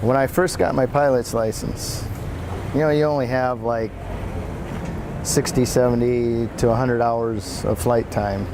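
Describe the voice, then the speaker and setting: A man's voice: medium pitch, fairly even intonation, unhurried, noisy background. An older man co-hosting an advice talk show outdoors, easygoing, with dry humor. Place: outdoors in the sun.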